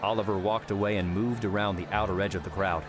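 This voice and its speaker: A man narrating an audiobook in a measured, steady reading. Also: lowish voice. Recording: narration over background music. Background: music.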